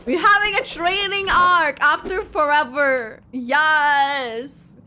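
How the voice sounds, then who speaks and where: A woman giving casual, expressive reaction commentary while playing through a video game. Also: high voice, slow pace. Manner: excitable, expressive, exclaiming. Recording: loud game music behind the voice. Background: game music.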